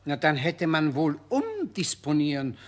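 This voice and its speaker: A man's voice: fairly high pitch, wildly swooping pitch, a little slow, full character voice. An actor playing a pedantic, fault-finding male customer in a children's audio drama, speaking in stilted, long-winded formal phrases.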